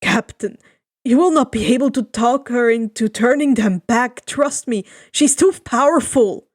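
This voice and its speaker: A woman's voice: fairly high pitch, unhurried, dry recording, studio quality. A woman voicing an earnest male sailor, a second-in-command who speaks urgently and insistently, in a fan fiction reading.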